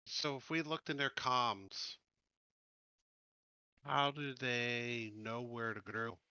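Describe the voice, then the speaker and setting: A man talks as a player in a tabletop game, in casual questioning table talk. His voice is bright and middle-pitched. The sound is dry and a little dull. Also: fairly even intonation, takes it slowly, clean tone, no echo.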